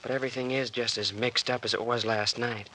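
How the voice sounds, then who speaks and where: A man's voice: mid-range voice, fairly even intonation, brisk, pushed and bright, close to an everyday voice, poor audio. An actor playing an earnest, protective young man in a 1940s radio drama, with boyish phrasing. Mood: worried. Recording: hissy old-time radio recording.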